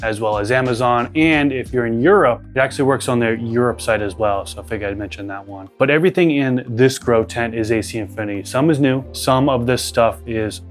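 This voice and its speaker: A man's voice: medium pitch, brisk, few pauses, soft background music. A man with a Boston accent hosting a gardening channel, walking viewers through his grow tent equipment in a casual, step-by-step way. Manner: conversational, explains step by step.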